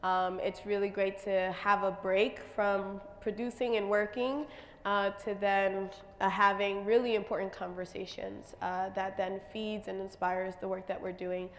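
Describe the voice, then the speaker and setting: A woman artist presenting her work on a stage, her voice slightly raspy, talking with many pauses and fillers. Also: mid-range voice, flat delivery, in a large hall. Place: stage in a large hall.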